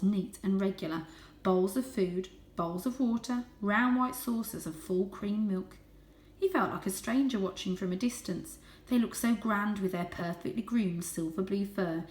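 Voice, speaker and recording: A woman reading a children's book as dramatic narration, lingering on key words and hushing for suspense. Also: medium pitch. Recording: roomy, echoey sound.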